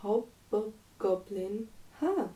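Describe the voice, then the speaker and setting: A woman's voice: mid-range voice, lively intonation, drawn-out words, mellow, distant mic, poor audio. A woman voicing a cheerful, fearless twelve-year-old miner boy in a storybook, with a confident, sing-song manner. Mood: merry chanting.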